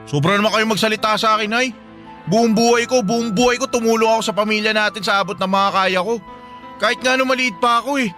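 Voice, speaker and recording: A man's voice: sits high, fairly even intonation, fast, pushed and bright, soft background music. A man acting a timid, pleading young adult son in a radio drama, stammering and hesitant. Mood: hurt, resentful.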